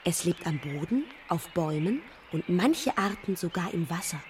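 An actress playing a naive, pert young princess in a children's radio play. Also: female voice, on the low side, expressive, moderate pace, recorded from a distance, slight background noise, natural voice. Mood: instructive.

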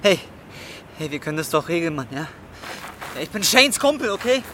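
A man's voice: a little high, big pitch swings, a little fast, background noise. An actor playing a cornered, frightened but defiant young man in a radio drama, protesting and pleading in a strained, swearing manner.

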